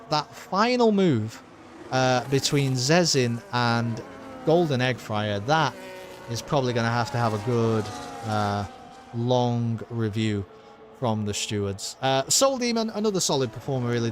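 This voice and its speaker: A man commentating a sim race and signing off his stream, excitable and rambling, with his voice wearing thin after a long session. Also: mid-range voice, background noise. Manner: excited, rambling, with many uh fillers. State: losing his voice.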